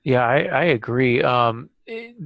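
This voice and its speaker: A man co-hosting a casual podcast discussion, speaking in a halting way as he thinks aloud. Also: mid-range voice, big pitch swings, creaky, dry recording, dull audio. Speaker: podcast co-host.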